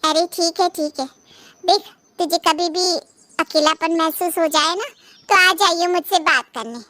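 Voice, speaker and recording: A woman voicing a nosy, meddling older female neighbour with an animated manner in a cartoon moral story. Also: very high voice, measured pace, dry recording, exaggerated voice. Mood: surprised concern.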